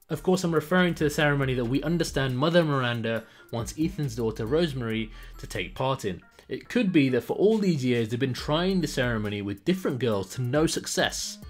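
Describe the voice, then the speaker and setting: A man hosting a gaming channel, narrating a scripted video essay with enthusiastic, emphatic presenter phrasing. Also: medium pitch. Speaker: YouTube gaming channel host.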